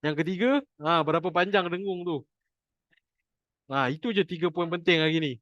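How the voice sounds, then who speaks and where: Malaysian male Quran teacher, chatty and jokey, slightly high voice, dry lo-fi recording. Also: steady tone, moderate pace, fairly bright tone, dry recording. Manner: chatty, jokey, repeats points for emphasis. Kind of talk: informal online class teaching.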